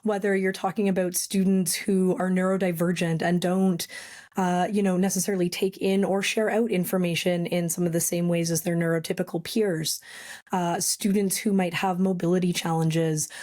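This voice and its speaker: A woman speaking as a guest on an education podcast. Her voice is slightly raspy, and she talks in a friendly, chatty way with frequent fillers. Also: on the low side, little pitch movement, moderate pace, a little mellow. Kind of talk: podcast interview.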